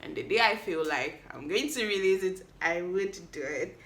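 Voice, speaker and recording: A woman's voice: lowish voice, animated, normal speed, fairly bright tone. A woman, hosting a solo podcast recap in a playful, chatty way that teases the listener. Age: 20s. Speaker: podcast host. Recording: echoey room.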